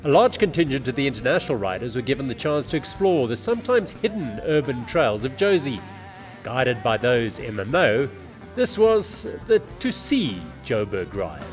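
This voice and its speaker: A man's voice: mid-range voice, big pitch swings, normal speed, faint music behind. A man giving scripted, upbeat narration of a bike race.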